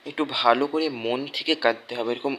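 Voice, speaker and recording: A man's voice: mid-range voice, quick pace, a bit bright, lightly acted. A man voicing a theatrical, bossy male figure in a satirical cartoon, with exaggerated delivery. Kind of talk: satirical cartoon dub acting.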